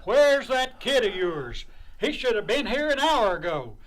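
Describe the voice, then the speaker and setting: An actor playing an irritable, demanding father in a 1940s radio sitcom, with testy, drawn-out complaints. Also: very high-pitched, sing-song, fairly bright tone, heavily acted. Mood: irritated.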